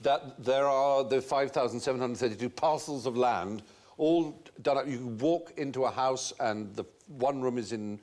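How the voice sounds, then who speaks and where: A man's voice: medium pitch, normal speed. A man hosting a comic quiz show, playful and theatrical, tossing in mock foreign phrases. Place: TV studio with a live audience. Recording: loud studio audience laughter and noise.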